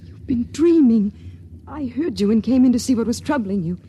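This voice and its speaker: Woman playing a scared young woman, soft, pleading, mellow, highish voice. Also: lively intonation, moderate pace, natural voice. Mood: tender. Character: young woman on the ship, timid and tender. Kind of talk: 1950s radio drama acting. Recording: faint hiss of an old radio broadcast.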